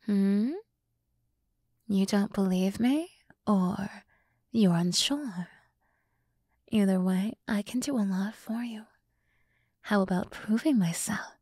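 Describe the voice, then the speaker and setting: A woman voicing a flattering, smug demon woman devoted to her summoner in an ASMR roleplay, soft and breathy, often dropping to a whisper. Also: medium pitch, slow pace, long pauses, no room sound, clean studio sound. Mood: coaxing, scheming. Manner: seductive, boastful, theatrical.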